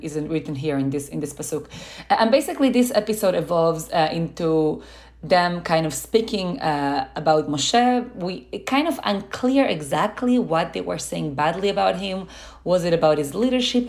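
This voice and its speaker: A woman with a notably low voice talks through ideas in a reflective podcast conversation. English is not her first language, and her speech is earnest, full of small restarts and fillers. She sounds thoughtful and sincere. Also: darkish tone. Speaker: podcast co-host.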